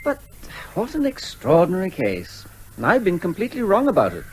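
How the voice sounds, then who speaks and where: A man's voice: high-pitched, huge pitch swings, brisk, darkish tone, husky, heavily acted, low-quality recording. An English actor playing a courteous, dignified middle-aged judge and father in a comic radio drama. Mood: gracious, bemused.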